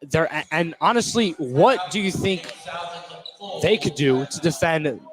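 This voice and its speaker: Man with lightly high voice analyzing basketball. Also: expressive, a little slow, fairly bright tone, poor audio. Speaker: colour commentator. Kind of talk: conversational live basketball analysis.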